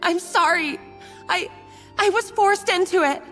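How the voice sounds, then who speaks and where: An actress playing a nervous young kitchen worker, stammering and apologetic, full of guilt. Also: female voice, extremely high pitch, unhurried, fairly bright tone. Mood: fearful. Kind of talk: dubbed game dialogue. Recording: game audio, reverb, music under.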